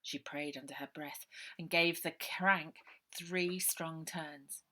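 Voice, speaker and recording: A woman author reading her own children's adventure novel aloud, lively, dropping to a whisper for suspense. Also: low-pitched, slow. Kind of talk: storybook narration for children.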